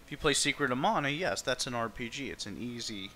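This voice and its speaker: A man's voice: medium pitch, lively intonation, fast talker, sharp tone, a little hiss or hum. A man streaming a game, chatting casually and informally, rambling a little as he thinks aloud. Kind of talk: casual livestream chat.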